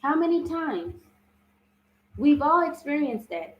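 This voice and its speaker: A woman's voice: high voice, sing-song, takes it slowly, roomy sound, muffled recording. A woman hosting a live self-love talk, with a strong, big voice, speaking earnestly and often asking "you understand?". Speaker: spiritual self-love host. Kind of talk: live-streamed motivational talk.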